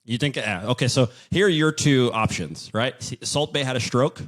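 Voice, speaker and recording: A man's voice: medium pitch, moderate pace. A man hosting a podcast, chatting casually in a joking, self-deprecating, rambling way. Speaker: podcast host.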